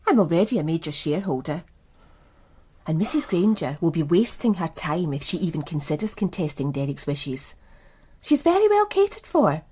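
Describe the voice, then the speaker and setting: A woman's voice: lowish voice, sing-song, normal speed, dark tone, slightly rough. Cool, calculating seductive businesswoman. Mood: cool, defiant. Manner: poised, guarded, knowing. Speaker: actress in a radio crime drama. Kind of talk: naturalistic radio drama dialogue.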